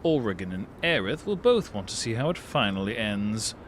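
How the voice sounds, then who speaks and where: A man's voice: lowish voice, big pitch swings, normal speed, a bit bright, slight background noise. A man voicing an aloof feline wanderer, musing with philosophical detachment in a storybook monologue. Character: aloof, musing male feline traveler watching mortal affairs.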